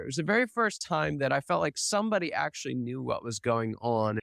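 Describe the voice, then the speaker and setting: A man recounts his own story in a candid, reflective way. His voice sits a little higher than most men's, and it sounds close and dry with no room echo. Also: a bit bright. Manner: earnest and reflective, often adds "right?". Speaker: podcast guest, business founder.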